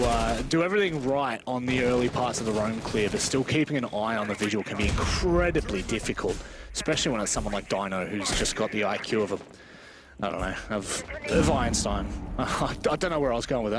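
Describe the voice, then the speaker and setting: Dude casting an esports match, jokey and self-deprecating, loud-ish game gunfire in the background. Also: male voice, mid-range voice, fairly quick. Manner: informal, self-deprecating, joking. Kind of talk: live esports commentary, casual banter. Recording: game gunfire noise behind voice. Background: video game gunfire.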